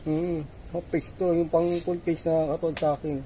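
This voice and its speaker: A woman's voice: sits low, flat delivery, moderate pace, mellow, a little hiss or hum, poor audio. A Filipino woman in casual conversation, explaining gear to a companion in an informal way.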